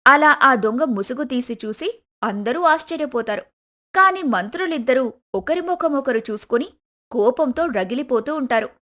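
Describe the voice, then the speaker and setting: Higher-voiced woman narrating a story. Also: lively intonation, moderate pace, pushed and bright.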